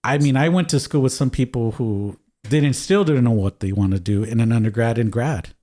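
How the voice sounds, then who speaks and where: Low-voiced man in casual chat. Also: normal speed, mellow. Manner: rambling, swears casually, many restarts. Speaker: guest artist. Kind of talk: casual conversation.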